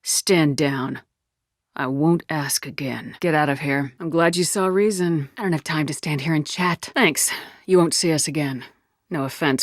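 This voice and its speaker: Woman voice acting a tough lady space commander from a video game, low firm voice, blunt and bossy, real dry close recording. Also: no echo, natural voice. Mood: cool, menacing. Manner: assertive, curt, dry. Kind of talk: game dialogue, isolated voice lines.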